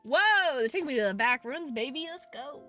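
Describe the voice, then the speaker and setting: A woman's voice: high voice, animated, moderate pace. A woman streaming a visual novel, reading the text aloud and chatting to viewers with playful, goofy asides, on a close microphone. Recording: music behind the voice.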